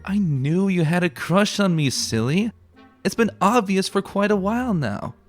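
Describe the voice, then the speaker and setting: A man's voice: sits high, animated, normal speed, soft background music, pitched above the performer's own voice. A man voicing a young female pony scout who is breezy, affectionate and playfully chiding, in a dramatic story reading. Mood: teasing.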